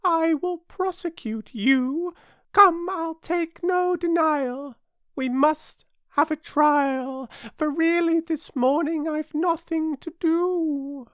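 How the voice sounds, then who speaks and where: A cunning old dog and a mouse speak in storybook verse, performed by a woman. The delivery is declamatory, pitched very high and strongly stretched out. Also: takes it slowly, no echo, clean studio sound. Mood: imperious.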